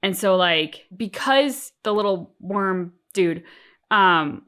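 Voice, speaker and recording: A woman with a mid-pitched voice chats as a reaction co-host. Her delivery is thoughtful and a bit halting as she works out her opinion. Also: expressive, sharp tone. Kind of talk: casual reaction discussion.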